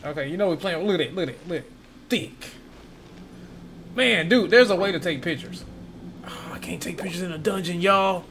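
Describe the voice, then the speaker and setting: A man's voice: high voice, a little fast, long pauses, a bit bright, a little hiss or hum. A man giving casual gameplay commentary as a streamer, slangy and repeating his phrases. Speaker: game streamer.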